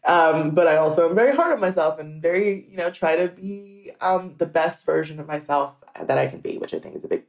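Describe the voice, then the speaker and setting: A woman's voice: low voice, brisk, hardly any pauses, a little mellow. A woman TV writer, a guest who chats in a rambling way full of fillers. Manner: rambling, lots of likes. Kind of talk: casual chat. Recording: echoey room.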